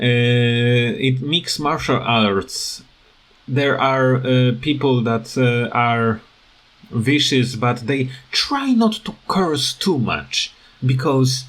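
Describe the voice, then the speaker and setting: A man with a command of talks through his opinions in an informal, unscripted rant. His delivery is slow and halting, with repeated words as he searches for the next phrase. His pitch sits in an ordinary middle range for a man. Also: a bit bright. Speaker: video creator giving commentary.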